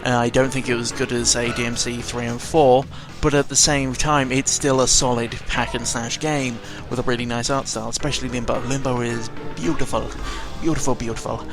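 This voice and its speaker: A man's voice: mid-range voice, steady tone, brisk, few pauses. Rambling British man with game music behind. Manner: rambling, sarcastic, mildly sweary. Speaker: video game commentator. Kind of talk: casual unscripted rambling commentary. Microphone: close microphone. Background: video game music.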